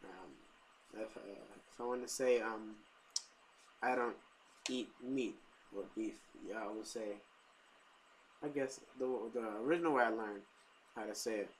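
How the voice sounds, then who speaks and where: A man's voice: fairly low pitch, a little slow, long pauses, rough recording. Young guy vlogging from his living room on a webcam mic, lots of ums and restarts, faint hum behind him. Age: young adult. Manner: hesitant, many fillers and restarts, chuckles. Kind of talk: casual webcam vlog. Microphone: webcam built-in mic.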